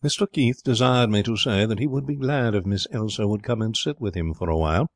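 A man voicing an elderly butler in a storybook reading. He is quiet, formal and benevolent, with deferential, measured wording. The voice is lowish and dryly recorded. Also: no echo.

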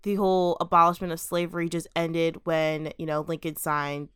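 A young woman co-hosts a casual podcast, jumping in supportively and talking animatedly with lots of "like". Her voice is clear and smooth. The recording is dry and close. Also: deep voice, level tone, moderate pace, fairly bright tone, dry recording. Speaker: podcast co-host.

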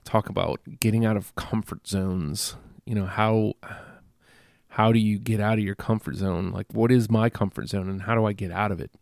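Congested man with a gravelly low voice. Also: steady tone, moderate pace, no echo, clean studio sound. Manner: rambling, self-deprecating, many fillers and restarts. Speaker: podcast host. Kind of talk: casual solo podcast monologue. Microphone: close podcast microphone.

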